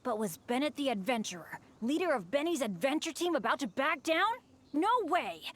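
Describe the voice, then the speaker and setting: Excitable high-voiced teen boy adventurer. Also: moderate pace, heavily acted. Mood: eager storytelling. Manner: dramatic, exclamatory. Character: Bennett, earnest excitable teenage boy adventurer. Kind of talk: anime-style game voice acting.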